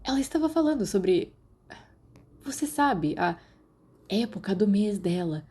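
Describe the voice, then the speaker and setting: Audiobook role: teenage boy played by a woman, animated, teasing, brooding, mellow tone. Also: mid-range voice, unhurried, slightly rough. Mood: exasperated, awkward. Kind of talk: audiobook character dialogue.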